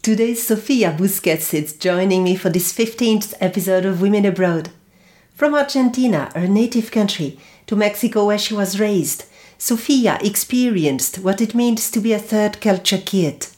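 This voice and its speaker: A woman hosting a podcast, reading a scripted introduction and then interviewing a guest in a measured, deliberate way. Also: mid-range voice, a little mellow. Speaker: podcast host.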